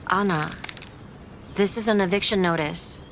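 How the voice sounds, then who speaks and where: A woman's voice: fairly low pitch, normal speed, fairly bright tone, slight background noise. An actress playing a guarded, skeptical woman in an audio drama, speaking in blunt, clipped phrases with a protective edge. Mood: shocked. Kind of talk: naturalistic audio drama acting.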